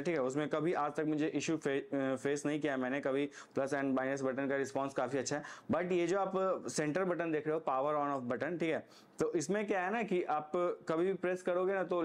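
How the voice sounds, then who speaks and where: Casting: Indian-accented man, slightly high voice, casual gear reviewer, explanatory and unscripted. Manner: explanatory, frequently checks in with "okay".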